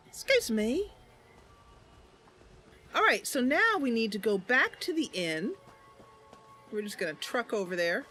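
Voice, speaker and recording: Female gameplay host, casual narrator, highish voice with lively intonation, sounding unwell and weary. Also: slow pace, long pauses, faint music behind. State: ill and tired. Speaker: channel host and game player.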